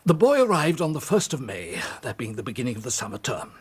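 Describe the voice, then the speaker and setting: An actor playing a pompous, long-winded, easily flustered school headmaster in a period radio drama, speaking formally and with agitation. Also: male voice, medium pitch, big pitch swings, fast, breathy edge.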